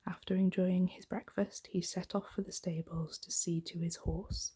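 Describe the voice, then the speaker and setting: Soft asmr lady storyteller, breathy near whisper, low and mellow, takes her time. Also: level tone. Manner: gentle, unhurried. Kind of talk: soft-spoken ASMR bedtime story reading.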